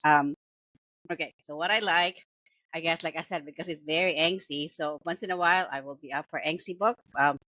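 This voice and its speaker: A woman with a Filipino accent talks loosely about a book, full of "um"s and restarts, laughing as she goes. Her voice sits a bit low and sounds dull and lo-fi. The recording is close and dry. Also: fairly even intonation, brisk, bright, forceful tone, dry recording. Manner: chatty, full of fillers and restarts, laughs often. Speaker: book podcast co-host. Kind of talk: casual book review chat.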